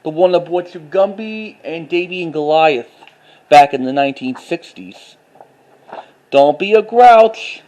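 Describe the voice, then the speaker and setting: A man narrating a casual, unscripted show-and-tell as a collector, haltingly, repeating phrases and reading titles aloud. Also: a little high, animated, darkish tone, highs cut off. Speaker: collector showing finds to camera.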